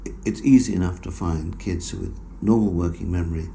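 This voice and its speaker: A man answering interview questions about his research in a measured, explanatory way, with frequent fillers. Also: deep voice, vocal fry, dark tone, a little hiss or hum. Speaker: researcher guest.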